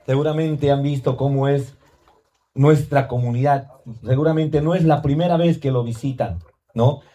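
Earnest man giving a mellow public speech. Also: mid-range voice. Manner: earnest, pleading, repeats phrases with tag questions. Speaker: school director giving a speech. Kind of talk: formal public speech at a community event.

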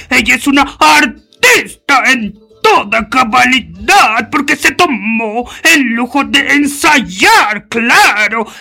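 Decadent zombie lady for radio comedy, super slow, piercing bright wail, adoring fan. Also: high voice, gravelly, heavily acted. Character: decadent female zombie, devoted pop fan. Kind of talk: comic radio theatre acting.

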